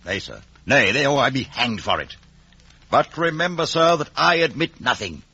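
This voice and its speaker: Husky, swooping, shrewd lawyer. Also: male voice, medium pitch, animated, sharp tone, no echo, exaggerated voice. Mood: stern. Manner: emphatic, deliberate cross-examining. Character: shrewd, guarded, domineering middle-aged male lawyer. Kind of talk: 1950s radio drama acting. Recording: dull, roomless old radio recording.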